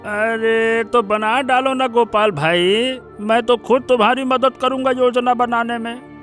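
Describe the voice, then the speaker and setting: A man's voice: very high-pitched, fairly even intonation, a little slow, a bit bright, faint music behind. A man voicing a welcoming, good-natured older village father in a cartoon story, hearty and encouraging. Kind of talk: Hindi cartoon story dialogue.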